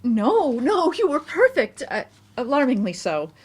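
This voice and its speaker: A hesitant, affectionate young woman confides in a best friend, played by a woman at a play reading. The voice is fairly high and a little raspy, with very animated intonation. The phrasing is conversational and halting. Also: exaggerated voice. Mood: relieved, affectionate.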